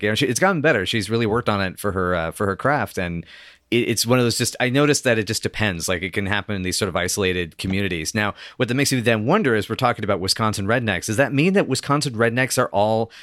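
A man's voice: fairly low pitch, fairly bright tone, no room sound, studio quality. A man talking as a podcast guest in casual chat, rambling with many fillers. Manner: rambling, many "like" and "you know".

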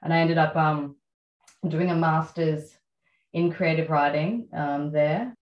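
Low-voiced Australian woman, echoey sound. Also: fairly even intonation, muffled recording. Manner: reflective, many fillers and pauses. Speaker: guest writer. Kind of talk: relaxed interview conversation.

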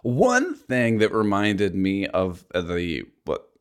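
A man's voice: fairly low pitch, wildly swooping pitch, slow, clear, resonant tone. A man co-hosting a podcast, chatting casually and rambling with lots of "like", recorded close on a voice mic in an apartment. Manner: rambling, heavy use of "like". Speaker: podcast co-host. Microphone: voice mic a couple inches from mouth.

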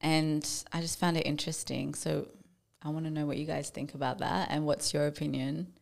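A young woman co-hosting a podcast, talking in a relaxed way with many fillers. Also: low-pitched, a little fast, vocal fry. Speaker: podcast co-host. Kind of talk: casual chat.

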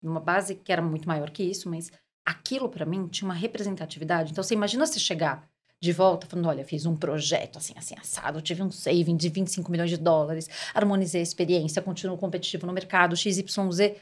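Podcast guest: Brazilian woman, 40s, lowish voice, emphatic conversational storyteller who slips into quoted dialogue. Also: fast. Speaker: podcast guest, corporate executive. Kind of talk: conversational interview, personal career anecdotes.

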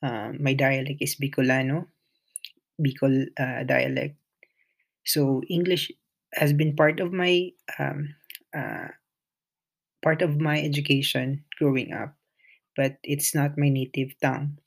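Slow, creaky-voiced podcaster. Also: mid-range voice, flat delivery. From Philippines. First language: Filipino. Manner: hesitant, many pauses and restarts; shy, introverted. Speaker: podcast host. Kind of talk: solo podcast monologue.

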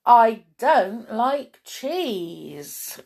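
A woman's voice: sits high, wildly swooping pitch, takes it slowly, slightly rough, pitched above the performer's own voice, heavily acted. A woman voicing a naive, polite female cow in a children's storybook, easily disgusted and full of exaggerated exclamations and questions. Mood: disappointed.

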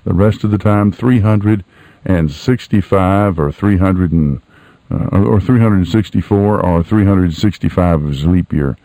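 An older man hosting radio in a casual, unscripted way, folksy and rambling with hesitant pauses. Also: low voice, dark tone, no echo, poor audio. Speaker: radio host.

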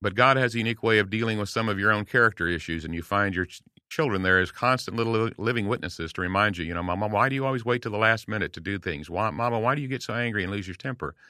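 Older man, low bright dry voice. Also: quick pace, no echo. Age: 60s. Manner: earnest, storytelling, warm encouragement with acted quotes. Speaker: guest speaker. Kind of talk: casual podcast conversation.

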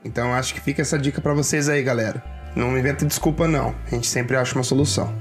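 A man's voice: medium pitch, racing, soft background music. Slangy Brazilian man giving advice. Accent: Brazilian Portuguese. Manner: slangy and animated, speaking straight to viewers. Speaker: vlogger giving career advice. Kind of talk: casual advice talk.

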